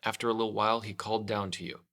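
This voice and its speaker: Bright-voiced male storyteller. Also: on the low side, little pitch movement, rapid-fire. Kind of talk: children's story read-aloud, scripted narration.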